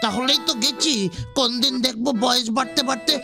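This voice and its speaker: A man playing a tiny, fussy, stubborn old man in a children's audio story, with a creaky, querulous drawl. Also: very high voice, fairly quick. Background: music.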